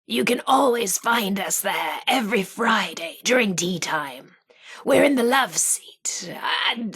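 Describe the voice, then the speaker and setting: A vintage radio hostess from a video game, genteel and theatrical. Her voice is breathy and husky with a creaky edge, at a medium pitch. She presents at an unhurried pace, with a flirty, teasing lilt. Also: fairly bright tone, heavily acted. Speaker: radio host. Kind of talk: old-time radio presenting with playful banter.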